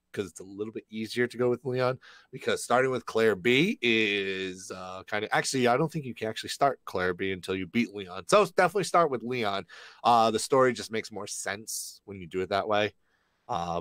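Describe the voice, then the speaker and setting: A man co-hosting a gaming livestream, slightly raspy from being sick, chatting and reading news aloud with a rambling manner. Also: fairly low pitch, fairly bright tone, no echo. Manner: rambling, with many fillers.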